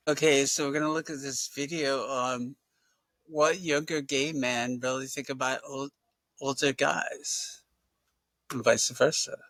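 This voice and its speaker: Slow-talking host in casual conversation. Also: medium pitch, steady tone, a bit bright, no echo. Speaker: talk show host. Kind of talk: casual chat.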